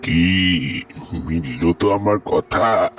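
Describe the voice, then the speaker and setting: A man voicing an old male ghost who talks slowly and eerily, knowing things he should not know. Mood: eerie. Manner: slow, low, looming.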